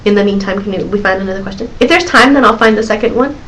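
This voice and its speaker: A woman's voice: mid-range voice, rapid-fire, a bit bright, slight background noise. A woman in her thirties hosting her own live question-and-answer stream, chatting loosely with lots of giggles, fillers and restarts.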